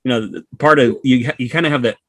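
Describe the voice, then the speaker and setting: A man as a podcast guest, casually telling personal anecdotes in an animated way and acting out bits of dialogue. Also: medium pitch, fast talker, dry recording. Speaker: podcast guest, social media creator.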